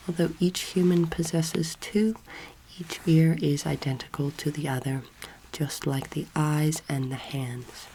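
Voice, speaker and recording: A young woman speaking softly and breathily, often near a whisper, in a slow, gentle ASMR roleplay lecture as a teacher. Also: low voice, a little hiss or hum, rough recording. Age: young adult. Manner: slow, gentle, deliberate instruction.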